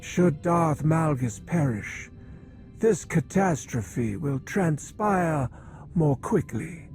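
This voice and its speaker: Gravelly, ominous alien seer. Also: male voice, a little high, lively intonation, slow pace, darkish tone, faint music behind, exaggerated voice. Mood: grim foreboding. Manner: terse, portentous. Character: grim, ominous male alien seer on a council. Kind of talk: video game cutscene acting.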